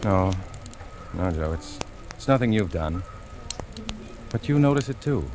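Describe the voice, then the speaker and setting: A brooding, secretive husband, noisy vintage radio. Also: male voice, low voice, expressive, unhurried. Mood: evasive, persuasive. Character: secretive husband, outwardly mild, quietly brooding. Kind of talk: 1940s radio drama acting. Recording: noisy old radio broadcast recording.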